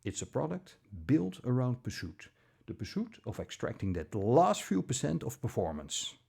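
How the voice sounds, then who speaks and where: Guy doing a scripted review voiceover, measured delivery, bit of gravel and fry, lively intonation. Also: medium pitch. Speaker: audio gear reviewer.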